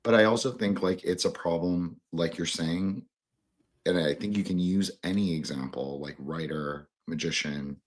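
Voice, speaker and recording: Husky, low-voiced man, rambling casually. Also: moderate pace. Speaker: podcast host.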